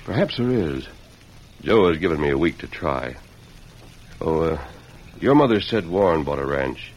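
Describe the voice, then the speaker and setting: Very deep-voiced, resolute western hero. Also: male voice, sing-song, normal speed, slightly rough, exaggerated voice. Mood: calm, resolute. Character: calm resolute male helper planning to clear an innocent man. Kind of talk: old-time radio western acting. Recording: noisy vintage radio recording.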